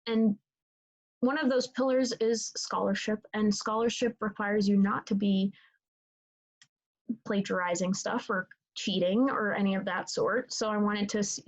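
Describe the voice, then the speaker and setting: A woman's voice: medium pitch, fairly even intonation, a little slow, rough recording. A young woman whose first language is Italian, answering interview questions in a casual, rambling way with many fillers and restarts. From Italy. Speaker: interviewee, student leader.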